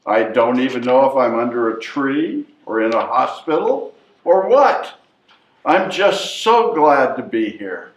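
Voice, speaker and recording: A man's voice: mid-range voice, lively intonation, measured pace, close to an everyday voice. A man playing a chatty, know-it-all newborn baby in a readers theatre comedy. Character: cocky, chatty newborn baby, sure of being a girl. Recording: echoey, muffled stage recording from far off.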